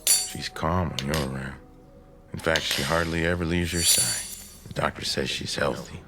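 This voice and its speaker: An American actor playing a stern, practical, middle-aged husband, blunt and insistent, in a radio drama. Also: male voice, a bass voice, steady tone, normal speed, heavy background noise. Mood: puzzled. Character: stern, practical, impatient small-town husband.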